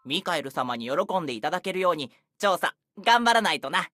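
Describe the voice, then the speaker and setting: An enthusiastic, boyish young companion in a game. The speech rushes along with big swings in pitch, friendly and full of eagerness. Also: female voice, big pitch swings, fairly bright tone, a little hiss or hum. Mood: excited, friendly. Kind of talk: scripted Japanese game character acting.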